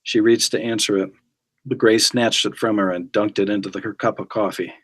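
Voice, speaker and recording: A man's voice: on the low side, steady tone, brisk, husky. A man reading scripted story narration aloud. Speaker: guest reader.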